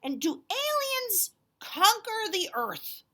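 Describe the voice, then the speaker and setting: A woman reading aloud and voicing an eager young male dragon who loves books, with a high, loud, excited pleading voice. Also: slow, full character voice.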